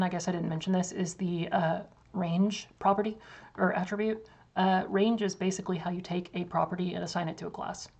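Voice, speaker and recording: A young woman with a voice going hoarse gives a casual, filler-heavy walkthrough of software on a screen share. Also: medium pitch. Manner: casual, loose, many fillers and restarts. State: losing her voice. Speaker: developer demoing software.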